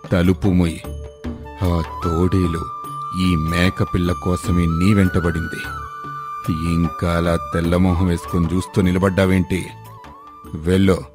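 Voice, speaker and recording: A man voicing a gruff, scolding old hunchback in an animated moral story. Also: sits low. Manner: scolding, commanding, slow deliberate delivery.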